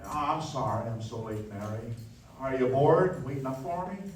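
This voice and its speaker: A man's voice: mid-range voice, animated, normal speed, darkish tone, natural voice. A man playing an earnest, self-doubting small-town husband who stammers with feeling in a live radio play. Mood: weary, dejected. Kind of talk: live radio play acting in old American film manner. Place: live stage in a dance hall. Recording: echoey noisy dance hall, distant mic.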